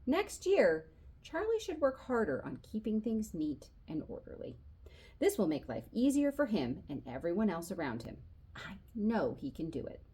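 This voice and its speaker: A woman reading a children's novel aloud as an expressive storyteller, narrating in the voice of a boy and acting out the dialogue. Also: medium pitch, moderate pace, breathy edge. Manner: animated, acts out dialogue.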